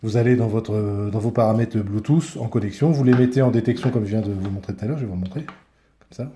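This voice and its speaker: A man's voice: fairly low pitch, fast, mellow, slight background noise. A man giving a casual hands-on product review, speaking informally and often searching for his words.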